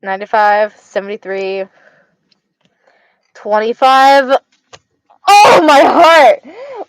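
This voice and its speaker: A woman's voice: fairly high pitch, no echo, rough recording. A young woman with an Arizona accent, chatting casually with viewers on a stream; she stutters, slurs her words and repeats herself. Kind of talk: casual chat.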